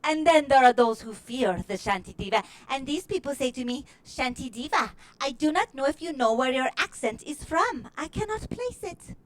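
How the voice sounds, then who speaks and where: A woman's voice: high-pitched, expressive, moderate pace, hardly any pauses, rough recording. A woman comedian voicing a vain, snippy new-age diva guru in a grandiose manner with a put-on, hard-to-place accent. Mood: boastful, haughty. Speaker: comedy performer. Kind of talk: character comedy monologue.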